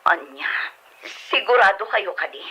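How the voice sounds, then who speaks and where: Bright-voiced Ilocano mother. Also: medium pitch, animated, unhurried, husky, exaggerated voice, low-quality recording. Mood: shocked, hurt. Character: loving middle-aged mother, earnest and easily wounded. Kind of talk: radio drama acting.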